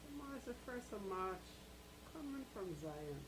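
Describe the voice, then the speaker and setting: A woman's voice: fairly high pitch, sing-song, normal speed. A woman chatting casually as a radio co-host. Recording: noisy audio with hum.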